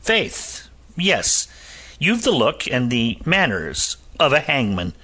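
A calm, sardonic physician answers with measured, cutting wit, as a male narrator puts him on. His lilt sits lightly on a bright, clear voice. He speaks slowly and keeps his composure. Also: mid-range voice, voice pushed up, heavily acted. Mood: composed. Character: cool, sardonic Irish physician and ex-soldier, adult man.

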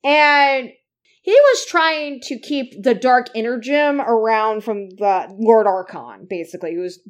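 A woman's voice: on the high side, lively intonation, measured pace. A woman co-hosting a casual fan podcast, rambling through her points with lots of fillers. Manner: rambling, heavy use of "like" and "uh". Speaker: podcast co-host.

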